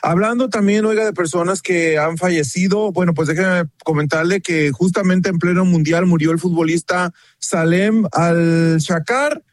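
A man's voice: high-pitched, moderate pace, few pauses, no echo, studio quality. A man with a Mexican accent presenting news on a radio show in a chatty, gossipy, colloquial way.

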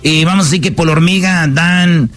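A man's voice: on the high side, fairly quick, a bit bright, faint music behind. A Mexican man hosting a sports talk show, joking and teasing in a playful, slangy way.

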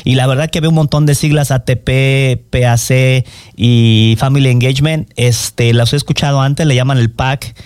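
A man's voice: mid-range voice, fairly even intonation, normal speed, darkish tone, smooth, no room sound, studio quality. A man hosting a radio talk show, chatty and upbeat, with lots of fillers. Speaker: radio host. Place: radio studio. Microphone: studio microphone.

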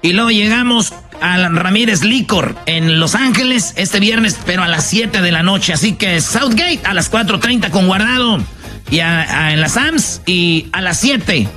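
A man's voice: high voice, lively intonation, unhurried, sharp tone, soft background music. A man hosting a radio show, announcing an upcoming event in an upbeat way. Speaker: radio host. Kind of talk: on-air event promo.

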